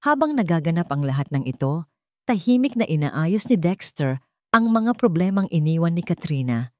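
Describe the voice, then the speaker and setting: A woman's voice: fairly low pitch, big pitch swings, moderate pace, darkish tone, no echo, studio quality. A woman with a Filipino accent reading a scripted romance story as a dramatic narrator.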